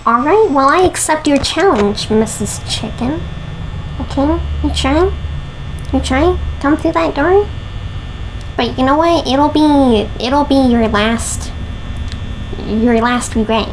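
A woman's voice: sits high, takes it slowly, pauses often, a little hiss or hum, rough recording. A young woman with a headset, playfully commentating a horror game, chatting to her viewers and teasing the game characters. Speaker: gaming video host.

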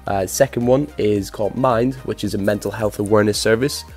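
A man in his twenties with an Irish accent, giving a quick, straightforward appeal through an earphone mic. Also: fairly low pitch, darkish tone, breathy edge, soft background music. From Ireland. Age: 20s. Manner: brisk, straightforward. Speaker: contest entrant. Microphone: earphone mic, phone camera.

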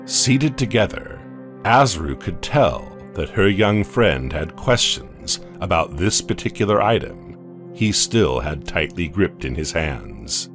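A man narrating a horror audio comic in a slow, dramatic storytelling manner. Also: low-pitched.

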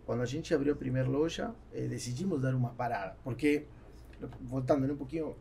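A middle-aged man, a native Spanish speaker with a South American accent, talking casually and haltingly as an interview guest. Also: medium pitch, vocal fry, warm tone, a little hiss or hum. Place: small studio with acoustic foam, indoors. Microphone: podcast microphone on boom arm.

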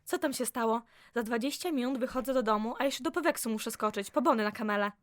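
A woman's voice: a little high, quick pace, dry recording, lightly acted. A woman playing an anxious, fretful archivist in an audio drama, speaking in exclamations. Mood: busy, brisk. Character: flustered, anxious female archivist in communist-era Poland.

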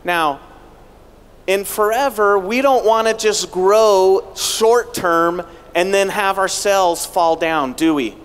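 A man's voice: sits high, slow, clean tone. A middle-aged man giving a motivational keynote speech on a large arena stage into a handheld microphone, rallying the crowd with rhetorical questions. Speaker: keynote speaker. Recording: long arena reverb.

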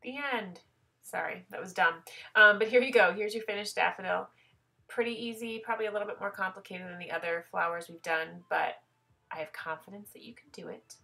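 A woman's voice: medium pitch, fairly quick, creaky, pushed and bright. A woman with a head cold explaining a craft project step by step in a chatty, self-deprecating tutorial voice.

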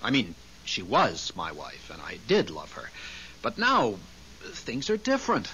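A man's voice: fairly low pitch, wildly swooping pitch, a little slow, bright, forceful tone, exaggerated voice. A man acting in a 1970s radio drama as the earnest, flustered spirit of a newly dead husband, eager and stammering. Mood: earnest, troubled. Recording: dull, hissy old radio recording.

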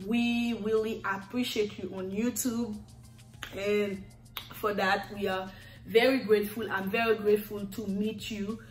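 Slow, warm-toned Haitian woman vlogger. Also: medium pitch, flat delivery, echoey room, soft background music, rough recording. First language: Haitian Creole. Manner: affectionate, sing-song, lingering on repeated words. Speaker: YouTuber. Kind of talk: thanking subscribers on camera. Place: living room with red leather sofa, indoors. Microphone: camera in front, seated.

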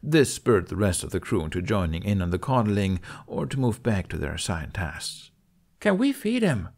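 A man's voice: low voice, lively intonation, normal speed, a little mellow. A man reading a story aloud as an audiobook narrator in a scripted storytelling style.

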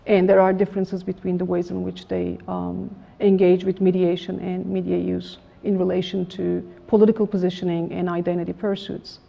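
A middle-aged woman giving a formal academic lecture in a room with a projector, measured and halting with frequent restarts. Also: on the low side, flat delivery, vocal fry. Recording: slightly dull lo-fi room recording.

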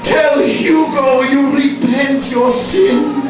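High-pitched, echo-drenched, vengeful mad doctor. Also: male voice, fairly even intonation. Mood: anguished, obsessed. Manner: melodramatic, drawn-out, anguished ranting. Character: disfigured, vengeful mad doctor, wailing and obsessed. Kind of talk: 1950s horror comic melodrama acting. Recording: very echoey, distant, music behind.

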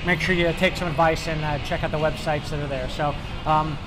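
A man in his forties with a fairly high voice hosts a relaxed review chat, upbeat and full of fillers. He talks outdoors on a patio into a lapel mic, with clear music playing behind. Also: fairly quick, rough recording. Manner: upbeat, chatty, many fillers. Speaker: host. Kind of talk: casual restaurant review chat.

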